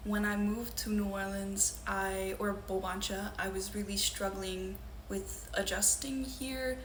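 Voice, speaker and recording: A woman's voice: medium pitch, a little hiss or hum. A young woman from Louisiana, speaking earnestly as she introduces and reads her own poetry. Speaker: poet. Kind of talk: poetry reading with spoken introductions. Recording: roomy echo with faint ambience.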